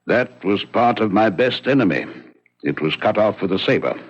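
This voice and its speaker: A man's voice: on the low side, expressive, normal speed, slightly rough. An actor playing a proud, powerful English nobleman in an old radio drama, formal and clipped, brusque and haunted, with an English accent. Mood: grimly composed. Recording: dull, lo-fi vintage radio drama.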